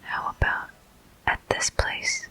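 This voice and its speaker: A whispering game host giving soft, deliberate ASMR commentary with frequent pauses, close to the microphone. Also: poor audio. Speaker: host of gameplay walkthrough.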